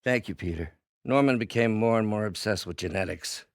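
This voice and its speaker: An actor playing an older scientist in a video game, an earnest, proud and increasingly bitter mentor with deliberate, articulate, theatrical delivery. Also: male voice, lowish voice, dry recording, clean studio sound, lightly acted. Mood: earnest, reflective. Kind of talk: dramatic video game voice acting.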